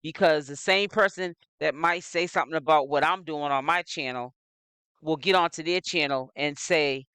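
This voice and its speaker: A man's voice: on the high side, moderate pace, bright, forceful tone, clean tone, dry recording. A man hosting his own commentary channel, delivering an opinionated gossip monologue in a preachy, admonishing way, repeating phrases for emphasis. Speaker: social media commentator, channel host.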